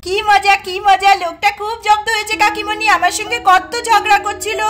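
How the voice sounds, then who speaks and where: A woman voicing an eager, excitable little girl in a doll story. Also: extremely high pitch, fairly quick. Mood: gleeful. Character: young girl, eager, gleeful, tattling little daughter.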